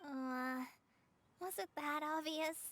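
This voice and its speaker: A really high anxious teen girl for a game, hesitant and awkward, doubts herself a lot. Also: fairly bright tone, slight background noise. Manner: hesitant, halting, self-conscious. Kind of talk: English video game voice acting.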